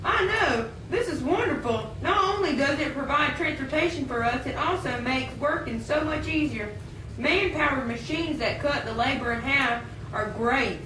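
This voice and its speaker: A talkative working man in an amateur puppet show, played by a woman. The voice is fairly high, with a strong room echo and a distant mic. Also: lively intonation, moderate pace. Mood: cheerful, hopeful. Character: upbeat, talkative working man, newcomer to the city. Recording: echoey room, distant mic, faint noise.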